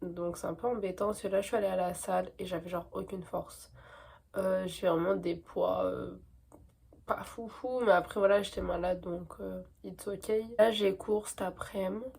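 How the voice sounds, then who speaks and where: Young woman w a cold, stuffy, chatty vlog, soft mellow voice, lots of euh. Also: mid-range voice, fairly even intonation, normal speed, creaky. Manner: chatty, lots of fillers, enthusiastic repetition. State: congested with a cold. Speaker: vlogger. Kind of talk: casual vlog diary.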